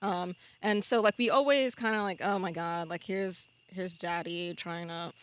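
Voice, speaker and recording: A woman's voice: lowish voice, fairly even intonation, normal speed, clear, resonant tone. A woman from New Jersey talking casually and candidly on a podcast, with a self-mocking streak. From New Jersey, United States.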